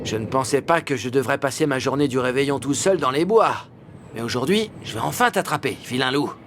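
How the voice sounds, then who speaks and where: Man playing a determined young hunter, bright voice. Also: mid-range voice, fairly quick, slight background noise, lightly acted. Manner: earnest, theatrical soliloquy. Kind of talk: children's cartoon acting.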